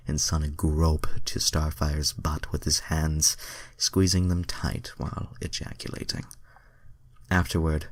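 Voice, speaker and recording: A man's voice: extremely low pitch, a bit bright, dry recording. A man narrating fan fiction as a dramatic reading, breaking off into casual asides to his viewers.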